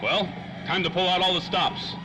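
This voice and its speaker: A man's voice: high voice, steady tone, moderate pace, natural voice. An actor playing a world-weary, wisecracking private eye in a 1930s radio play, full of slangy tough-guy talk. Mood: wry, tense. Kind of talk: old-time radio drama acting. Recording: faintly noisy old-time radio recording.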